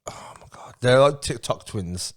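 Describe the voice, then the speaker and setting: A young man with a deep, low-register voice at an ordinary pitch for a man. He talks loudly and thoughtfully, explaining things at length in relaxed podcast banter. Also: unhurried. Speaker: podcast co-host. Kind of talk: casual banter.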